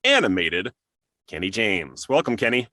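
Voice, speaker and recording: A man's voice: lowish voice, very animated, normal speed, sharp tone, no room sound, studio quality. An American man hosting an interview show in a conversational way.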